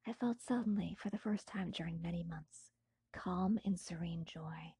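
Very breathy, hushed woman narrator. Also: lowish voice, moderate pace. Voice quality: breathy, husky, often near a whisper. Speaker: audiobook narrator. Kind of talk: dramatic scripted narration of a classic novel.

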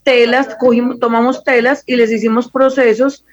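A woman's voice: fairly high pitch, little pitch movement, moderate pace. A Colombian woman, a fashion designer, giving spontaneous answers as an interview guest over a dull, lo-fi connection.

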